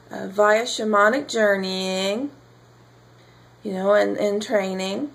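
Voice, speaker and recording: A woman speaking informally as a spiritual teacher announcing classes, pausing often and drawing out words in halting phrases. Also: mid-range voice, creaky. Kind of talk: informal spoken announcement to camera or followers.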